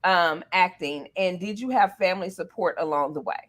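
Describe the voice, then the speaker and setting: A woman's voice: fairly low pitch, moderate pace. A woman hosting a casual talk show interview, speaking to guests in an encouraging, playful way.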